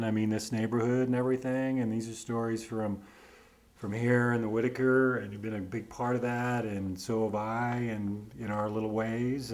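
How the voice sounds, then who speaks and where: A man's voice: lowish voice, darkish tone. A man interviewing a friend, talking in a loose, rambling way, dropping "man" and the odd swear word. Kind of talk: casual chat between friends.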